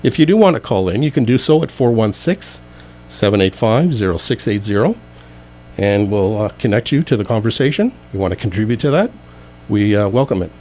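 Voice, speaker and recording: A man's voice: fairly low pitch, animated, fairly quick, warm tone, slight background noise, rough recording. A man hosting a radio talk show from a studio, reading out contact details in an announcer-like way before talking with guests.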